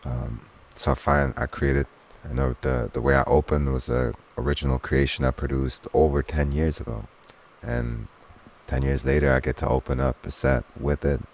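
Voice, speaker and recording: A man's voice: rumbling low, fairly even intonation, normal speed, gravelly, a little mellow. A man from Switzerland whose first language is Swiss German, talking earnestly as an interview guest and using spiritual turns of phrase. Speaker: guest, soul singer and DJ. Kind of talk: casual conversational interview.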